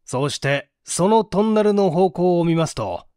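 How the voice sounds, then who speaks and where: A man delivers dramatic story narration in a fairly high male voice. His intonation is very lively, with measured theatrical phrasing. The sound is dry and close, free of room echo. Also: clear, resonant tone. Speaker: audiobook reader and small-theatre actor.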